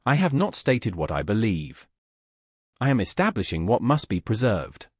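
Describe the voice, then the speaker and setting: A man voicing a composed, protective duke in an audiobook, formal, calm and deliberate. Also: lowish voice, breathy edge, no echo, studio quality. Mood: quietly firm.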